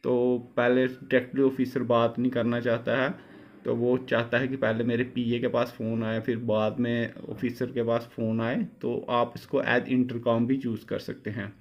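Man, mid-pitched voice, informal reviewer, explanatory and repetitive, muffled lo-fi phone recording. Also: little pitch movement, moderate pace. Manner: explanatory, repeats and rephrases. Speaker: product reviewer. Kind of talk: informal product review narration.

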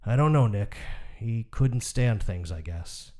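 A man reading the part of a gentle doctor father, subdued as he reassures his young son in a literary story. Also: lowish voice, lively intonation, measured pace.

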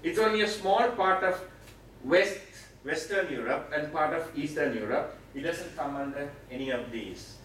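A man's voice: on the high side, moderate pace, a bit bright. Echoey, distant Sri Lankan man teaching. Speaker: preacher giving a Bible teaching session. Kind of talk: explanatory teaching lecture. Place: meeting room with seated audience, indoors. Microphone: far camera, speaker at a mic stand. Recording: very echoey room, distant pickup, noisy.

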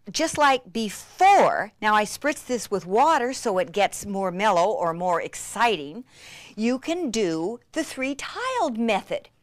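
Female craft demonstrator, breathlessly enthusiastic, unhurried delivery, close dry sound. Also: a little high, no echo. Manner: gushing, breathlessly excited, with frequent gasps and exclamations.

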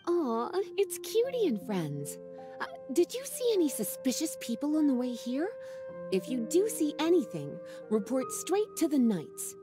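A woman voicing a languid, sultry witch-librarian in a game, teasing and unhurried. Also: high voice, wildly swooping pitch, over music, heavily acted. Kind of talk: game voice acting.

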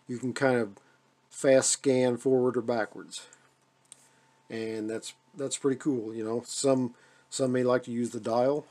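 An American man giving a casual, hands-on demonstration as a radio hobbyist, speaking off the cuff in a halting way with repeated phrases. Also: medium pitch, warm tone. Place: indoors at a radio desk.

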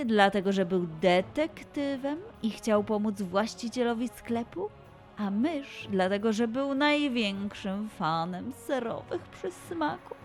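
A woman narrating a children's story slowly and expressively. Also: mid-range voice. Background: soft background music.

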